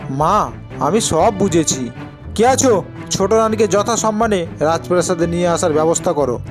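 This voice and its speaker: Man playing an authoritative folktale king, high-pitched. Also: animated, moderate pace, over music. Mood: commanding. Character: authoritative king, stern but remorseful.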